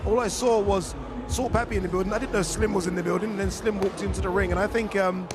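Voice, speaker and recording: A man hosting a live boxing event, chatty and excitable as he hypes up the fighters and interviews them. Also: high-pitched, quick pace, crowd noise. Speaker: event host. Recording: music playing loudly behind.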